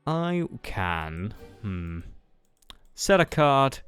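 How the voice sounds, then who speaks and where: Casual male duelist acted by a man for anime game roleplay; lowish voice, animated pitch, dry and hesitant. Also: very slow, clear, resonant tone, full character voice. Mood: musing, mildly annoyed. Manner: hesitant, thinking aloud, dryly offhand. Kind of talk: improvised Minecraft anime roleplay. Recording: music behind the voice.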